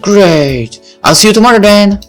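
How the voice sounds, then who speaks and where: Man putting on a perky, high-pitched teenage schoolgirl. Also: lively intonation, fairly bright tone. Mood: excited. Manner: perky, playful, drawn-out words. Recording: faint music behind the voice.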